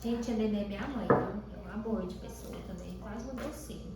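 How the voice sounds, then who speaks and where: A woman's voice: medium pitch, quick pace. A middle-aged Brazilian woman co-hosting a podcast, chatting casually with self-mocking humour. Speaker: podcast co-host. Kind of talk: casual chat. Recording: echoey room, distant microphone.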